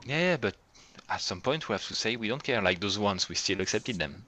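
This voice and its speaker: A man leading an online developer meeting in casual chat, quick and lively, laughing often, on a computer mic. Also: on the low side. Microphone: computer mic on a video call.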